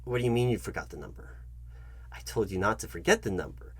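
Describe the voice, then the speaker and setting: A man performing a comedic job interview roleplay, speaking straight to the listener in a fussy, halting, repetitive manner. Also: lowish voice, slightly rough. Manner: fussy, halting, repeats himself, addresses listener directly. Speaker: ASMR channel host acting as job interviewer.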